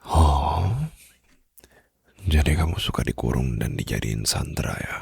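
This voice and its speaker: A man playing a smitten, suave mafia boss in an intimate ASMR romantic roleplay, teasing softly and possessively close to the microphone in a low, gravelly, breathy voice. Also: dry recording, full character voice. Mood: flirtatious. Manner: slow, soft, flirtatious teasing with formal wording. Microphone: close studio microphone.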